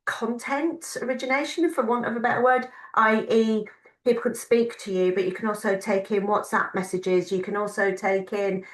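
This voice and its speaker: A woman's voice: mid-range voice, steady tone, moderate pace, reverberant, low-quality recording. A woman moderating a panel, putting audience questions to a colleague with many hesitations. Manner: hesitant, many ums while reading questions. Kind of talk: conversational Q&A.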